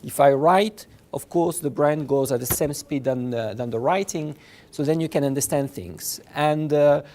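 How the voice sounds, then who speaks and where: A man with a French accent giving an informal university lecture, halting and repeating words as he goes, in an approachable way. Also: fairly high pitch, darkish tone. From France, originally from Morocco. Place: lecture room with blackboard.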